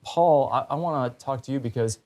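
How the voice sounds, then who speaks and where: A man's voice: medium pitch, fairly quick, darkish tone. A man hosting a talk show in a conversational, enthusiastic and emphatic way.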